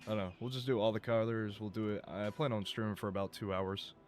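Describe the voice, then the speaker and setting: A man streaming a game live, talking casually and rambling, his voice going a bit rough as he loses it. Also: fairly low pitch, fairly even intonation, fast. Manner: rambling, chatty, swears and laughs. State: losing his voice.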